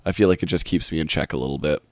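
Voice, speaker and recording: Deep-voiced man with a stuffy cold. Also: rapid-fire, a little mellow, dry recording, studio quality. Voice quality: a little nasal. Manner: rambling, many "like" fillers. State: recovering from a cold, voice partly lost. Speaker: podcast host. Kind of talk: solo podcast intro, casual chat.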